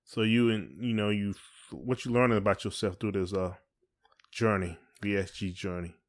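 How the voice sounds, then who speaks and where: A man's voice: on the low side, moderate pace. A man with an American accent co-hosting a podcast, asking questions in casual, colloquial speech.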